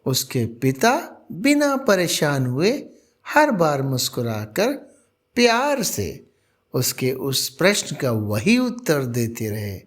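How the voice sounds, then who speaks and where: A man narrating a scripted moral story as a presenter, with deliberate, earnest, dramatic phrasing. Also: a little high, wildly swooping pitch.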